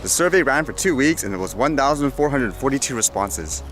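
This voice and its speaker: A man with a medium-pitched voice chats in an informal vlog style over clearly audible music. He reads items aloud and muses on them, often posing questions to himself and his viewers. He sounds like he is recording outdoors on a small camera. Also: expressive, fairly quick, fairly bright tone. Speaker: vlogger. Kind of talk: casual vlog chat, reading news items aloud with comments. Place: outdoors in a windy urban area. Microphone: action camera. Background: clear background music.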